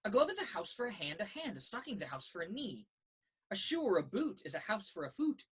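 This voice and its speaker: A woman reads a children's picture book aloud. Her pitch moves in a lively, expressive way through a sing-song rhythm. The voice has a husky, slightly rough edge. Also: brisk. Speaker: story reader. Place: indoors in a children's library.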